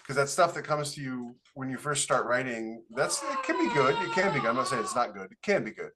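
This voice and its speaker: A man's voice: medium pitch, animated, fairly quick, heavy background noise. A man chatting casually on a podcast, colloquial and anecdotal, repeating points for emphasis. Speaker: podcast host and author.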